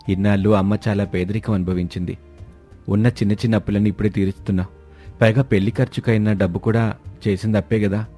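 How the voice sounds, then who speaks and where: A man's voice: on the low side, mellow, husky. A man voicing a dutiful, thrifty young husband in a cartoon story, earnest and firm.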